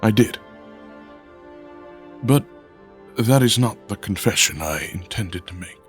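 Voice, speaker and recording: A man acting an earnest, brooding visionary inventor in an audio drama, speaking in a deliberate, formal way. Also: fairly low pitch, lively intonation, faint music behind. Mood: guarded. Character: earnest, brooding visionary male ship designer.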